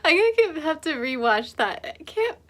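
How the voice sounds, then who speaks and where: A woman in a reaction video who keeps questioning what she sees, in a wry tone. Her voice is a little high. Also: very animated, moderate pace, a bit bright. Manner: wry, incredulous. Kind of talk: casual reaction commentary.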